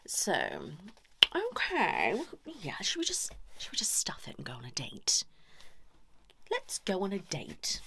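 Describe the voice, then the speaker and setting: A British woman doing a whispered ASMR role-play as a chatty, cheeky couples' therapist, breathy and soft. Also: fairly low pitch, slight background noise. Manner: chatty, cheeky, improvised asides to imagined clients.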